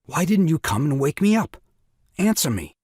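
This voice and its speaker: A man's voice: on the high side, big pitch swings, moderate pace, darkish tone, breathy edge, no echo, studio quality. A man playing a flustered, indignant young new father in a comic stage farce, blustering and exclaiming.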